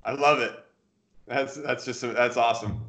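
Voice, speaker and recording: A man's voice: medium pitch, steady tone, moderate pace, a bit bright. A man in his thirties hosting a podcast over a video call, enthusiastic and encouraging. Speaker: podcast host. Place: home room, indoors. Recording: dull lo-fi call audio.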